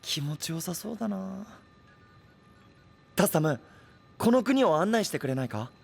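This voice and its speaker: Casting: naive young anime hero, slightly high boyish voice, blunt and sincere, light music behind. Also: male voice, lively intonation, moderate pace, creaky, darkish tone, husky, heavily acted. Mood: earnest. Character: earnest, simple-minded young male hero who speaks plainly. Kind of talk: anime-style audio drama acting.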